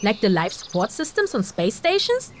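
A woman's voice: mid-range voice, huge pitch swings, normal speed, a crowd in the background. A woman playing a curious, cheeky young human traveler in a fantasy audio drama, chatty and informal.

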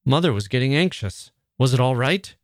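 A man voicing a gentle, concerned young upper-class brother in a storybook reading. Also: mid-range voice, expressive, moderate pace, no echo, clean studio sound, lightly acted.

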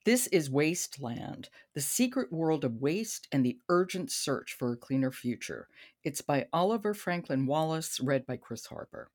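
A woman's voice: deep voice, expressive, moderate pace, darkish tone. A middle-aged American woman hosting a podcast in a conversational book discussion. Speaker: podcast host.

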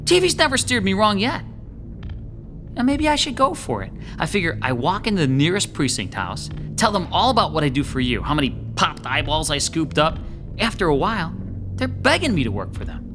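A man's voice: fairly high pitch, very animated, a little fast, over music. An actor playing a slangy, grumbling, middle-aged male New York lackey in an audio horror drama. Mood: wry, bragging.

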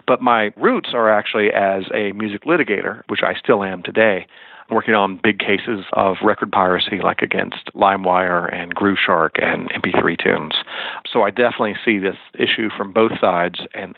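A man's voice: on the low side, normal speed, gravelly, no echo. A man explaining things as an expert interview guest, heard over a thin, phone-like connection. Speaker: guest, music and podcast lawyer.

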